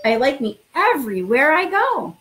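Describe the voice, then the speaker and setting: A middle-aged woman with a somewhat high-pitched voice speaks as an elementary school principal. She addresses children directly and encouragingly, in the informal style of a picture book read-aloud. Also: very animated, normal speed, fairly bright tone, faint music behind.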